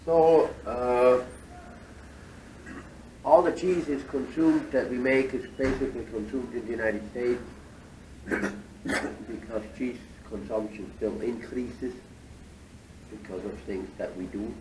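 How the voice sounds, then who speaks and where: A man from Switzerland, guiding a tour through a cheese factory and explaining things at an unhurried pace with many fillers. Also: mid-range voice, steady tone. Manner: unhurried, many fillers, slightly foreign phrasing. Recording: echoey factory hall, distant mic, machinery noise.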